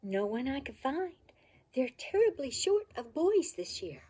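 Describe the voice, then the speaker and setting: A woman's voice: high voice, sing-song, unhurried, mellow, pitched above the performer's own voice. A woman voicing a patient mother dog who coaxes and questions her pup. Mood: coaxing. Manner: coaxing, questioning.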